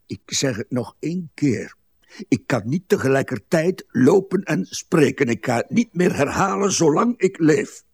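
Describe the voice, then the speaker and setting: An actor playing a grumpy, pedantic old husband, bitter and weary, in a radio play. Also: male voice, on the high side, lively intonation, a little slow, slightly rough, no echo, full character voice. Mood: irritable. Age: older. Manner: irritable, complaining, pedantic.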